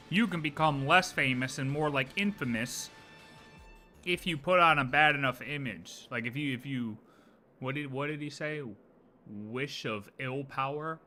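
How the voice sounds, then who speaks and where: A man streaming a live game reaction, joking and rambling as he plays. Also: fairly high pitch. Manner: animated, jokey, rambling.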